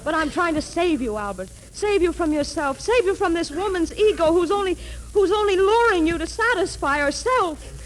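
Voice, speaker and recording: Woman playing imploring lovesick heroine, high voice, very expressive, overwrought, 1940s radio melodrama. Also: a little hiss or hum, poor audio. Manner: overwrought, imploring, dramatic pauses and repetition. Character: pleading, lovesick melodramatic woman in a romantic play.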